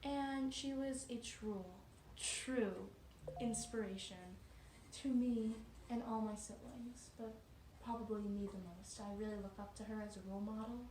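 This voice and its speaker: A woman playing a devout religious sister from eighteenth-century France as an interview guest, speaking earnestly and a little haltingly in an amateur role-play. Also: on the high side, steady tone, mellow, natural voice. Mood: tender, wistful. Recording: roomy, distant mic with background noise.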